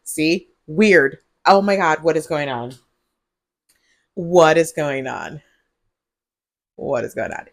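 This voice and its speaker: A woman vlogger, groggy on cold medicine, talking to her viewers in a husky voice and rambling with giggles. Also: low voice, slow, long pauses, no echo, clean studio sound. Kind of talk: casual vlog monologue. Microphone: earbuds mic.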